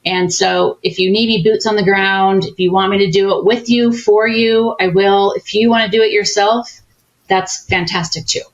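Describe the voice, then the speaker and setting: A woman's voice: mid-range voice, steady tone, normal speed, muffled recording. A woman consultant as a podcast guest, explaining things in a friendly, coach-like way. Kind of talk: casual interview chat.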